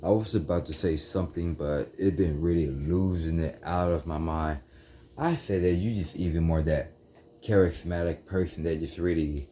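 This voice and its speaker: Deep-voiced man rambling about spirituality. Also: dark tone, slight background noise. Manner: meandering, self-correcting, casual slang like "dude". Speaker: YouTuber.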